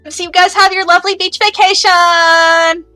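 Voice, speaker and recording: A woman voicing a squeaky, sassy, money-minded young female hacker and streamer in an improvised tabletop game. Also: sing-song, fairly quick, pushed and bright, soft background music, exaggerated voice. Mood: sweet, sassy. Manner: sassy, calls people hun and honey.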